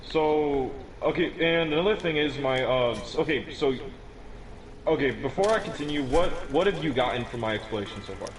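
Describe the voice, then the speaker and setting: A man's voice: fairly high pitch, lively intonation, normal speed, rough recording. A teenage boy explaining a game build in casual chat, rambling with many restarts and little chuckles. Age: teens. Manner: rambling, restarts often, chuckles at own remarks.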